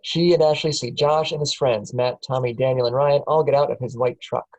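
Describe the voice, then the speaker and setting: A man reading the stage directions aloud at a script table reading, in a plain, steady way. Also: mid-range voice, fairly quick, low-quality recording. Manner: plain, steady, chuckles at times.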